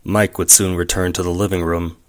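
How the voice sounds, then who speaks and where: A man acting as a calm storyteller, reading scripted third-person narration for an audio drama. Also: deep voice, brisk, natural voice.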